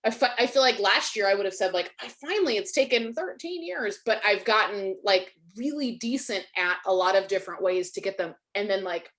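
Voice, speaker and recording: A woman's voice: mid-range voice, brisk, hardly any pauses, fairly bright tone. A woman, hosting a casual video-call webinar, chatty and self-deprecating, with lots of likes. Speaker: webinar host, Spanish teacher.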